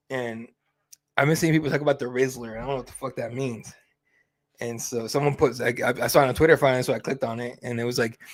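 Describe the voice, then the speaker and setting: A young man hosting a fight-night livestream, chatting casually with lots of fillers and swearing. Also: medium pitch. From Mexican heritage. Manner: rambling, profane, easygoing, many fillers. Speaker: host of a fight companion stream.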